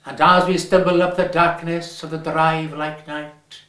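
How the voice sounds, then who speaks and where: A man with a Welsh accent reading a story aloud, measured and lingering on his words. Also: a little high, level tone, a bit bright. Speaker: reader. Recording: roomy echo.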